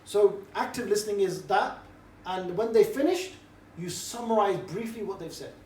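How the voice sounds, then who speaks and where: A middle-aged man, giving a relaxed, jovial briefing to a group and mixing in cheeky banter. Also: high voice, moderate pace. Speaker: street preaching trainer. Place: indoor room with a group. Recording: roomy echo, distant mic, faint background noise.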